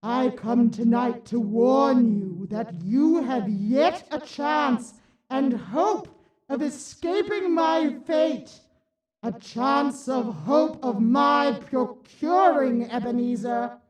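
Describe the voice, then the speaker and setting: A woman's voice: high voice, slow, darkish tone. A woman playing the mournful, stern ghost of a dead businessman in a radio drama, solemn and declamatory. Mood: mournful, warning. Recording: echoey, distant, ghostly reverberant sound.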